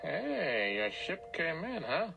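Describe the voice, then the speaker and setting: An actor in a radio drama playing a brusque, money-minded hotel desk clerk with a curt, slangy way of talking. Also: male voice, fairly high pitch, huge pitch swings, slow, vocal fry, full character voice. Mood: obliging. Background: music.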